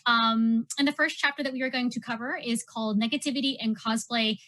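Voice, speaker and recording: A woman's voice: fairly high pitch, steady tone, brisk, sharp tone. A woman hosting a panel interview, asking questions in a friendly, encouraging, upbeat way.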